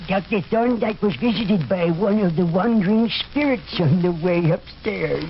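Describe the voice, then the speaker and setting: An actor playing a crafty, half-mad old male servant with a slow, sinister manner in an old radio horror play. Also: high voice. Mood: sly, knowing. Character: crafty, demented-looking old manservant of a haunted house. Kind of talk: 1940s radio horror acting. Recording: muffled, noisy old radio recording.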